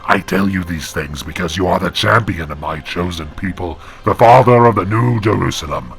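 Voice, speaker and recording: A man voicing a wrathful, commanding angel in an audio drama, with a metallic, inhuman, gravelly voice and solemn, archaic prophetic delivery. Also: low-pitched, moderate pace, faint music behind, exaggerated voice. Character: wrathful, commanding male angel possessing a man's body. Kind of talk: creepypasta-style audio drama.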